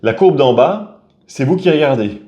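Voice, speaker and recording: Chatty middle-aged man explaining calmly. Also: mid-range voice, moderate pace, dull audio. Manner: relaxed, chatty, explains step by step. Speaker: family vlogger. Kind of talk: casual chat to camera.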